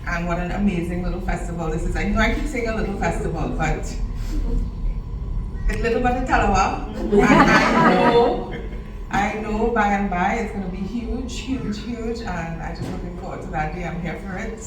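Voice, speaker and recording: A woman's voice: medium pitch, moderate pace. A woman author answering questions in a festival interview, effusive and laughing as she talks. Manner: effusive, warm thanks, laughing. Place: event venue, large room. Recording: roomy echo, distant, noisy.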